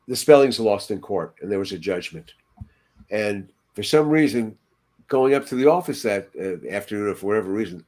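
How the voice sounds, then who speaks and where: An older man casually recalling anecdotes as a podcast co-host, in halting, piecemeal phrases, over a video call from home. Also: on the low side, a little mellow.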